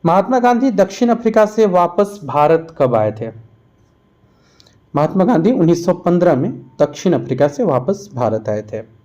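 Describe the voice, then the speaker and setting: A man with a somewhat high-pitched voice and a very mellow, rounded tone. He presents an exam-prep lesson in question-and-answer form. His delivery is explanatory, restating key answers so they stick. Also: very animated, moderate pace, highs cut off. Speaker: exam-prep history teacher. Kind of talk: quiz-style lesson narration.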